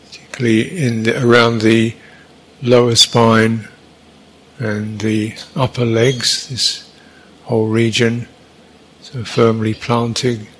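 A man leads a guided meditation at a very slow pace. His medium-pitched voice stays flat and even, moving through short, halting instructions with long gaps between them. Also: husky, low-quality recording. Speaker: meditation teacher.

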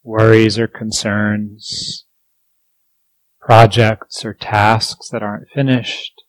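A man with a fairly low voice leads a guided meditation. He speaks slowly and gently, leaving space between phrases as a teacher would. The sound is dry, with very little room echo. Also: little pitch movement.

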